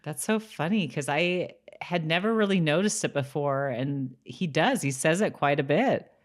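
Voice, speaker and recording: Low-pitched woman in casual podcast chat. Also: lively intonation, normal speed. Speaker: podcast co-host. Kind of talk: casual chat about a TV episode.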